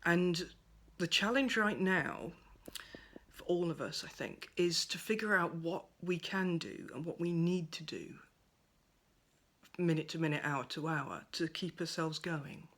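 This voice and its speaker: A woman giving a gentle, thoughtful monologue at home by a window, her voice soft and at times close to a whisper. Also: on the low side, measured pace. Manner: soft-spoken, thoughtful, drifts into near-whispers. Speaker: pagan speaker sharing reflections. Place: at home by a window over a garden.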